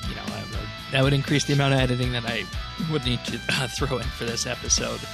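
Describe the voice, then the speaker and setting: A man hosting a podcast in casual discussion, explaining things in a measured, somewhat hesitant way. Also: medium pitch, steady tone, vocal fry, music in the background. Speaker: podcast host.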